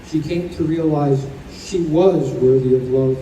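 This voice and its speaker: A man's voice: a little high, a little slow, warm tone, lightly acted. A man playing a kindly, reassuring spirit guide in an old-time radio play, recorded live in a roomy space. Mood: gentle, solemn. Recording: roomy live stage recording, distant, faint noise.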